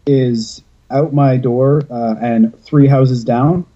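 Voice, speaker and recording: A man's voice: medium pitch, fairly even intonation, slow pace, dark tone, dull audio. A man from Canada chatting casually and jokingly, rambling with fillers and restarts. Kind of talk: casual chat.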